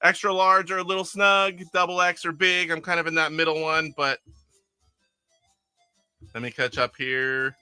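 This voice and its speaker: A middle-aged man chats loosely on a live game stream, rambling, chuckling, and reading viewer comments aloud. His voice is very bright and somewhat high, recorded dry and close, with faint game music underneath. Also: level tone, moderate pace, long pauses, clean tone, no room sound. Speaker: retro game streamer host. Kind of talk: casual live-stream chat with gameplay. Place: attic converted to a game room.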